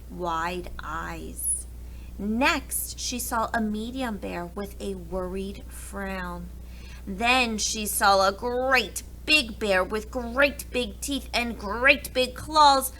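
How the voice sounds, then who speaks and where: A woman narrating a children's story aloud for preschoolers, with dramatic and deliberate phrasing. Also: mid-range voice, sharp tone, slight background noise, low-quality recording.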